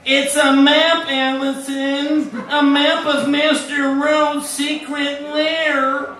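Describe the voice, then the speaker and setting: A man's voice: very high voice, little pitch movement, extremely slow, bright, forceful tone, slight background noise, exaggerated voice. A man playing an earnest, naive boy sidekick in an old-time radio detective serial parody, with melodramatic delivery. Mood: earnest, wide-eyed. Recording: echoey, distant, with music behind.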